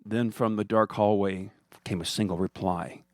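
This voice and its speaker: A man's voice: fairly low pitch, fairly even intonation, moderate pace, a little mellow, dry recording, studio quality. A man narrating a scripted comic horror story as its storyteller.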